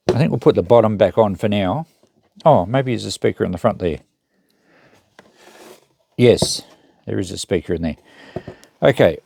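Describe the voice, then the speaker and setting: A man's voice: fairly low pitch, vocal fry, dark tone, slight background noise. A man giving casual, unscripted commentary as he thinks aloud through a hands-on repair job. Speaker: host of a repair channel.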